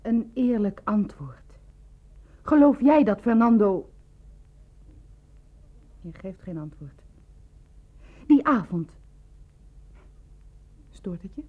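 An actress in a Dutch radio play, playing a shrewd, insinuating woman who questions a suspect in a husky, controlled and pointed way. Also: medium pitch, big pitch swings, slow pace, pauses often. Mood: probing. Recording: dull, lo-fi radio play recording.

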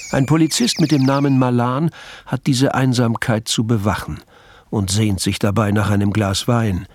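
A man narrating a literary radio play as its storyteller, with a gravelly, husky voice. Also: mid-range voice, natural voice.

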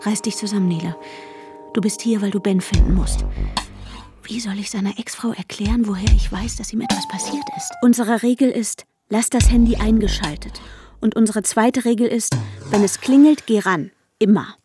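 A woman's voice: medium pitch, normal speed, lightly acted. An actress as an intense, anxious woman over music. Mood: tense, brooding. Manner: intense, hushed, often near-whispered, clipped sentences. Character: anxious, controlling woman searching for her vanished fiancé, narrating. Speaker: protagonist and narrator. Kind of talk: radio drama inner monologue and dialogue. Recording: music under the voice.

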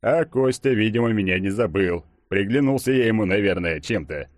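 Man acting a deep-voiced burly, gruff veteran. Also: lively intonation, normal speed, dry recording, studio quality, lightly acted. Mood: reminiscing. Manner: colloquial, grumbling, rambling. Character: burly, gruff, tipsy ex-soldier, grumpy and suspicious.

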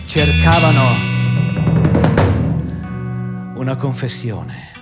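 An actor playing a grave, indignant narrator who comments on an unjust trial in dramatic, emphatic radio theatre narration. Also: male voice, mid-range voice, very animated, very slow. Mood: grave indignation. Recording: long reverberant hall, guitar music underneath.

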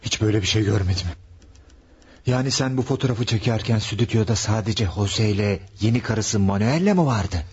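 An actor in a radio play voicing a stern, moralizing police sergeant. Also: male voice, on the low side, normal speed, darkish tone, slightly rough. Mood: intrigued. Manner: authoritative, moralizing. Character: stern police sergeant, middle-aged man.

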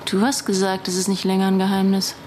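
A woman's voice: medium pitch, monotone, fast, darkish tone, close to an everyday voice. Blunt young businesswoman, played by an actress, noisy background. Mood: sharp, impatient. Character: blunt, sharp-tongued young businesswoman in a start-up. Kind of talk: naturalistic radio drama acting.

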